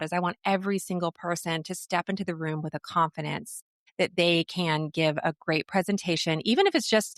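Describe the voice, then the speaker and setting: A woman's voice: medium pitch, dry recording, studio quality. A middle-aged American woman, an articulate and talkative communication coach, explaining things conversationally as a podcast guest.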